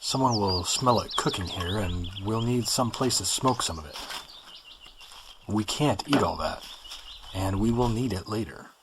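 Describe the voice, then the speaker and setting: A man voicing a commanding survivor who keeps his group moving, with a lowish voice set against loud outdoor sound of birds and open country. A radio-play figure who talks in firm, urgent orders. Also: measured pace, background noise, lightly acted. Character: male survivor leading a group to safety. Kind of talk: audio drama acting.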